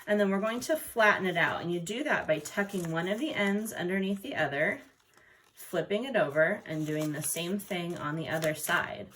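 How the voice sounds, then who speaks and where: Lower-voiced woman giving craft instructions. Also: lively intonation, normal speed, fairly bright tone. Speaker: art teacher. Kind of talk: step-by-step craft instruction for children. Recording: noticeable background noise.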